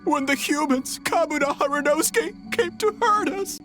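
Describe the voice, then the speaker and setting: A woman's voice: high-pitched, normal speed, music in the background, lightly acted. A woman voicing a small, earnest, wide-eyed tanuki yokai in a video game, theatrical and childlike. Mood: dramatic. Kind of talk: dramatic video game acting.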